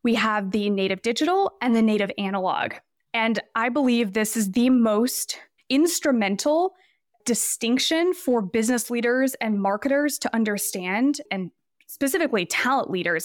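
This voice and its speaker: A woman's voice: fairly high pitch, no echo, studio quality. A woman in her twenties, a podcast guest, talking casually and explaining her ideas at length with examples. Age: 20s. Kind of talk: casual interview talk.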